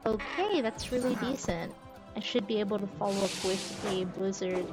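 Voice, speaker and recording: A woman's voice: mid-range voice, moderate pace. A woman streamer giving casual, easygoing gameplay commentary, thinking aloud as she plays. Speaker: game streamer. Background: game music.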